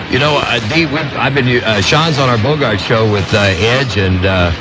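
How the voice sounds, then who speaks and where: A man's voice: mid-range voice, normal speed. Easygoing male radio host, noisy broadcast. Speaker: radio talk show host. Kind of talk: radio talk show hosting. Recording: old AM radio broadcast, heavy noise.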